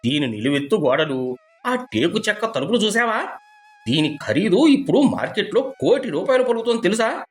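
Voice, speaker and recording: A man's voice: sits high, big pitch swings, brisk. A man playing a boastful, status-anxious middle-aged village father in a cartoon moral story, pompous and blustering. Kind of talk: animated moral story dialogue.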